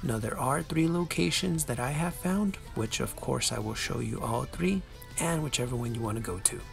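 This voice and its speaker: A man's voice: medium pitch, expressive, normal speed, creaky, faint music behind. A man giving a casual game walkthrough, chatty, playful and self-deprecating, as the host of a guide.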